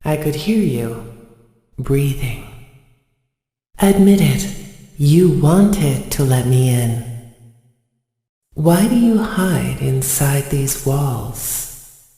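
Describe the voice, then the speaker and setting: Very slow, echoing animatronic stalker. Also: female voice, a bass voice, expressive, dark tone, exaggerated voice. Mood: menacing, taunting. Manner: slow, deliberate, coaxing. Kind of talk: video game villain lines. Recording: long echoing reverb.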